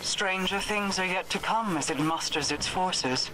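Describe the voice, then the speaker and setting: An ominous game narrator delivers slow, portentous lines. Ambient noise is clearly audible behind the voice. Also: male voice, high-pitched.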